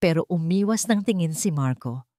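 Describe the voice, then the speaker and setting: A woman's voice: lowish voice, lively intonation, moderate pace, dark tone, no room sound, studio quality. A woman reading a dramatic scripted audiobook story as its narrator.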